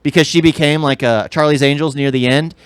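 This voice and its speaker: A man's voice: fairly high pitch, fairly even intonation, brisk, smooth, no room sound. Casual young man podcaster, dry close sound. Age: young adult. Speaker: podcast co-host. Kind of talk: casual movie chat.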